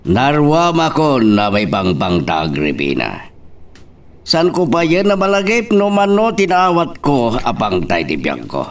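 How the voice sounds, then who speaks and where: A man plays a stubborn, brooding husband in a radio-style drama. He speaks slowly in a creaky, mid-pitched voice, slightly away from the mic. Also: wildly swooping pitch, distant mic. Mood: brooding, dismissive.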